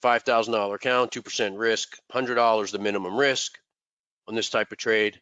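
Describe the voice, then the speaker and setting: Man, congested, bright voice, dry sound, rambling casual teaching, desk mic. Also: on the low side, fairly even intonation, fairly quick, clean tone, no echo, dull audio. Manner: rambling, repeats words. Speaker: trading mentor. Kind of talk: casual live teaching session. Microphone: desk microphone.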